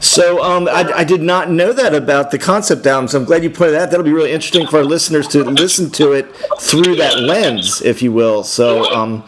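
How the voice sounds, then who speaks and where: A man's voice: fairly high pitch, fairly quick, slight background noise. A man hosting a rock radio show, asking easygoing, chatty questions with some ums.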